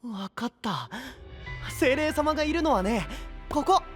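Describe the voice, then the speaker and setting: A man playing a cheerful, easygoing young guide to sea legends in an anime-style audio drama, explaining in a breezy, drawn-out way. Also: very high voice, very animated, a bit bright, soft background music, heavily acted. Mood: animated, explaining.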